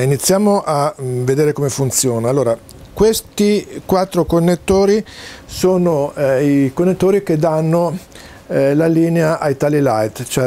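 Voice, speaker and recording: A man gives an informal, halting technical explanation to camera, pausing often as he searches for words. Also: mid-range voice, dark tone. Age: 60s. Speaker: presenter demonstrating home-built audio and video gear. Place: small cluttered home study, indoors.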